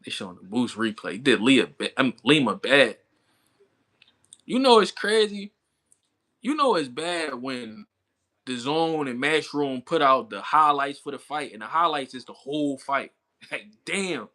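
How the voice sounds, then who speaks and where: A man's voice: mid-range voice, lively intonation, a little slow, bright, forceful tone. A man in his thirties chatting casually with livestream viewers, talking in a laid-back, slangy way and laughing often. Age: 30s. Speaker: livestream boxing commentator. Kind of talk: casual chat with live chat viewers.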